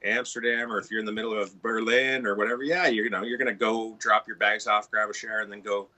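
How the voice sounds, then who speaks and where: A man's voice: on the low side, fairly even intonation, quick pace, sharp tone. A middle-aged Canadian man from Ontario, chatting as a guitar tech guest in a casual interview, rambling with many restarts and "you know"s.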